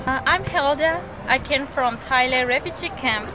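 A woman from Myanmar, answering interview questions in hesitant, halting English full of fillers. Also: high voice. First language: Karen.

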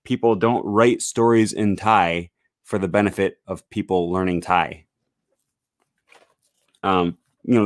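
A man's voice: lowish voice, dry recording, clean studio sound. Rambling young man streaming from home. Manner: loose and rambling, with frequent "um" and "uh". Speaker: language-learning streamer. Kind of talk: casual live-stream talk with screen sharing. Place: small home room with bookshelves. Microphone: large studio microphone on a desk stand.

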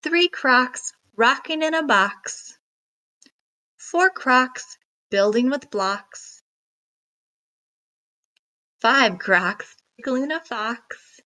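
A woman reading a children's picture book aloud in a deliberate, expressive storytelling manner. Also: high voice. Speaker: read-aloud narrator.

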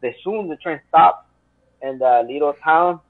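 A man's voice: fairly high pitch, unhurried, dry recording. Interview guest: Spanish-accented man in his 30s on a dry, tinny video call, earnest and rambling. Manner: earnest, rambling, full of fillers. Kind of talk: personal storytelling in interview. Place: home room. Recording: tinny phone-like call line.

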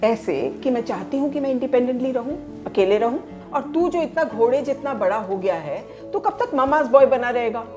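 A woman's voice: fairly high pitch, expressive, normal speed, hardly any pauses, over music, lightly acted. A woman playing a teasing middle-aged mother. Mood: firm. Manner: teasing, motherly, mixes English phrases. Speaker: groom's mother. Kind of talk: scripted short-film acting. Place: home living room.

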